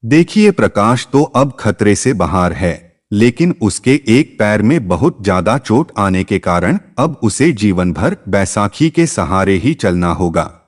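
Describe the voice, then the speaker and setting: A man's voice: on the low side, sing-song, a little slow. A man voicing a grave, composed doctor in a moral story, sombre and firm. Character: grave, composed male doctor giving bad news. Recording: long reverb.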